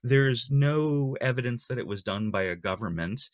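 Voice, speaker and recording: A middle-aged man giving an online talk in an earnest, measured way, phrase by phrase. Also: medium pitch, animated, no echo, clean studio sound. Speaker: conference speaker. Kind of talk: lightning talk to an online audience. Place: small home room with bookshelves, indoors. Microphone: webcam video call.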